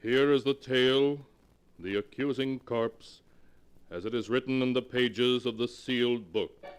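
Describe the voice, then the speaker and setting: A man narrating a 1940s radio mystery drama, slow, ominous and theatrical. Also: medium pitch, fairly even intonation, long reverb tail. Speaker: narrator, keeper of the book.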